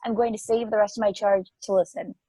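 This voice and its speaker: A woman's voice: medium pitch, level tone, fast, dark tone, slightly rough, no room sound, close to an everyday voice. A woman playing a lonely, frightened space probe in a play table read, hesitant and pleading. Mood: anxious pleading.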